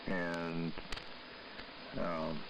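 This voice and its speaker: An American man chatting casually as a ham radio operator, halting and deliberate with many pauses, heard over an AM radio transmission. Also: low voice, completely flat delivery, vocal fry, lo-fi sound. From New York State, United States. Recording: very noisy AM shortwave radio transmission.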